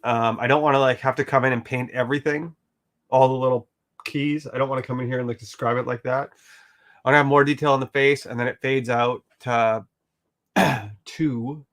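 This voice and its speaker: A man's voice: medium pitch, fairly even intonation, a little fast, a bit bright. An Australian man from rural Queensland chatting casually while he paints, rambling with lots of "like" and "um" and casual swearing. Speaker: artist vlogger.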